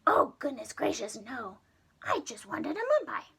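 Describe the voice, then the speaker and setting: A woman voicing a gentle, hungry giant monster in a children's storybook, gushing, polite and plaintive. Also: sits high, very animated, a little slow, slightly rough, exaggerated voice. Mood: reassuring. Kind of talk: children's storybook read-aloud.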